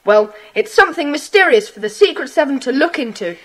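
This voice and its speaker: A woman playing an earnest, adventurous British schoolboy in a children's audio drama, speaking in an animated way. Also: high voice, brisk. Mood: earnest, intrigued. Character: earnest, adventurous schoolboy telling his friends things.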